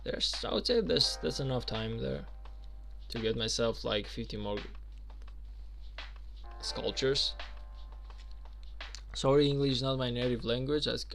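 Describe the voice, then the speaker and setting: A man's voice: mid-range voice, vocal fry, fairly bright tone. A young man from Albania, chatting casually on a game stream and answering viewer questions with lots of fillers. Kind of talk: casual live-stream chat.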